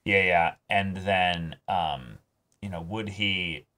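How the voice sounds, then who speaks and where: A man hosting an anime reaction show, talking casually and reflectively, with halting phrasing and the odd swear word. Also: low voice, pushed and bright.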